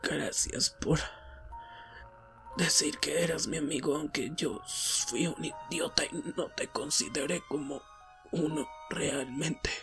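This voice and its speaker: Man acting a slow, dying teenage boy hero. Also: a little high, gravelly, fairly bright tone, soft background music, exaggerated voice. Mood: remorseful, fading. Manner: weak, faltering, breaking into whispers. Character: dying, gruff teenage boy hero, proud but remorseful. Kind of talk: Spanish fandub audio drama, emotional acting.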